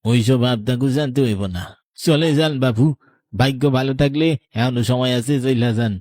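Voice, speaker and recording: An ominous tea-seller acted by a man speaks in a with a mid-pitched voice. His delivery is urgent and warning, in horror cartoon style, recorded very dry. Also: moderate pace, darkish tone, no echo, close to an everyday voice. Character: ominous male graveyard tea-seller warning visitors away.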